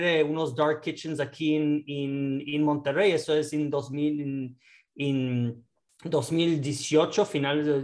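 Fairly high-voiced man. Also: a little mellow. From born in the United States, raised in Israel. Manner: hesitant, many fillers and restarts, mixes in English words. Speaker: guest entrepreneur and investor. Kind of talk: casual interview talk.

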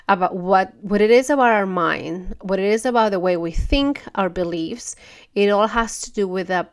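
A woman with a fairly low voice and plenty of creaky fry hosts a podcast in a loose, casual monologue. She sounds stuffy from a cold and talks in an earnest, gentle way, often restarting her sentences. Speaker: podcast host.

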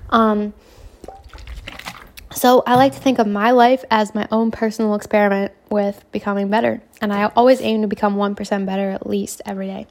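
A young woman hosting a casual self-help podcast, hoarse from a cold, talking in a brash, self-assured way and swearing freely. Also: mid-range voice, little pitch movement, brisk, darkish tone. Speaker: podcast host.

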